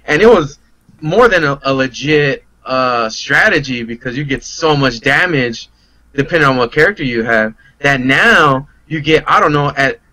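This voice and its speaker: A man's voice: medium pitch, animated, normal speed, bright, forceful tone, dry recording, low-quality recording. A man talking casually and loosely about fighting games, in a slangy, rambling way. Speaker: guest player on a fighting game talk show. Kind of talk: casual game discussion.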